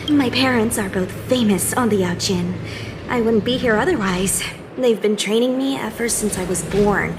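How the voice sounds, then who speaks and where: An actress playing an eager, chatty young recruit knight in a video game, earnest and a little proud. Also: female voice, sits high, noisy background.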